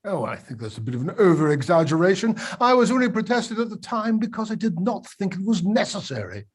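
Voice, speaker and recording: A man playing a stubborn, guarded company witness giving evasive testimony in a play table read, with a husky voice. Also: high voice, very animated, fast, exaggerated voice. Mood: defensive. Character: defensive, stubborn male boat company witness.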